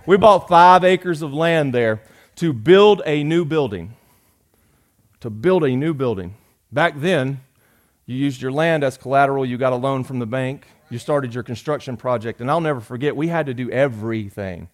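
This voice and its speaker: A man, preaching a sermon in a folksy, joking way, rising loud and dropping soft. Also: medium pitch, animated, normal speed. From Georgia. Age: 40s. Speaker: pastor.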